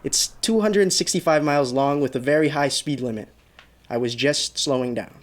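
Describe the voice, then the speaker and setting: A man acting a haunted man in his thirties who recounts his road trip in a radio drama, urgent and halting. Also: mid-range voice, lightly acted. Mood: uneasy recounting.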